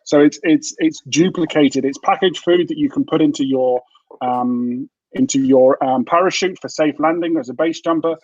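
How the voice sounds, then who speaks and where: A British man from England improvising a mock startup pitch on a video call, hesitant and full of fillers. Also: moderate pace, a little mellow, no echo. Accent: British English. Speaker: party game participant. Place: home room, video call. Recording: dull dry video call audio.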